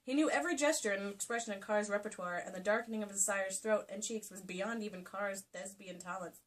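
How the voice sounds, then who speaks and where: A woman's voice: medium pitch, a little fast. A woman giving a playful, theatrical comedic reading of a novel, recorded at a distance from the mic. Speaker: reader in a comedy video. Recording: distant mic in a home video.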